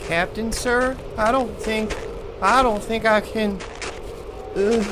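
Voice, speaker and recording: An actor playing a timid, weary, freezing follower in an audio drama, stammering and deferential. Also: male voice, high-pitched, steady tone, takes it slowly, very noisy. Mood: weak, hesitant.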